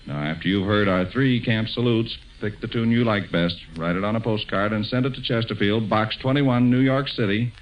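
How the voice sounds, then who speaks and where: Low-voiced 1940s American radio host, dull recording. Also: male voice, moderate pace, few pauses. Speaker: radio show host and announcer. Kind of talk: 1940s radio announcing, scripted.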